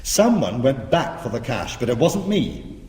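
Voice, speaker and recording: A man's voice: medium pitch, very animated, unhurried, a little mellow. An actor playing a defiant, sardonic British criminal arguing his case insistently in a radio drama. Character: defiant, quick-witted male criminal defending himself. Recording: echoey, reverberant church-like space.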